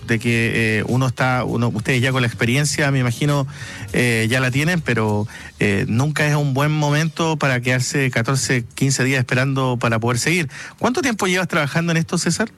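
A man's voice: medium pitch, a bit bright. A man with a Chilean accent hosting a late-night radio interview, asking long, chatty questions full of fillers.

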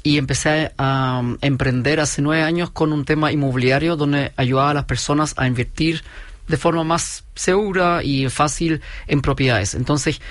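A man in his forties with a German-Chilean accent, a company founder calmly explaining his business point by point in an interview. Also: on the high side, moderate pace, no echo. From Chile, raised in Germany. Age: 40s. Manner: steady, methodical, explains point by point.

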